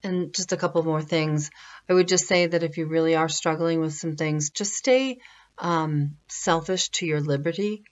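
A woman giving informal motivational advice in a conversational, halting way, repeating phrases for emphasis. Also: low-pitched, muffled recording, a little hiss or hum. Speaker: motivational spiritual speaker.

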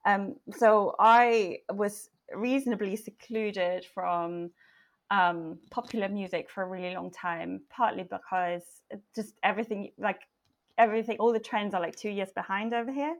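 A woman's voice: medium pitch, steady tone, normal speed. Woman from switzerland in a band, talking about her musical background, laughy and easygoing. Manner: chatty, laughs between phrases. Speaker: band member. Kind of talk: casual interview chat.

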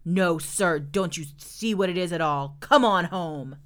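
A woman's voice: lowish voice, unhurried, lightly acted. A woman voicing a cautious young beaver boy in a children's story, speaking firmly and emphatically. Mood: wary, refusing. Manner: firm, emphatic.

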